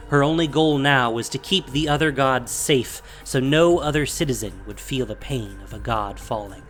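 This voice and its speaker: A man's voice: medium pitch, fairly bright tone. A man reading scripted dramatic fantasy narration as the storyteller of an audio drama.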